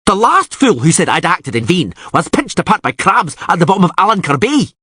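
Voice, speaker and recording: A hot-headed island warrior, played by a man, bellows threats in a loud, brash manner. The voice is pushed up and swings widely, with a husky edge. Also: high voice, sing-song, a little fast, no room sound, studio quality, voice pushed up, exaggerated voice. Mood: furious. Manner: yelling, brash.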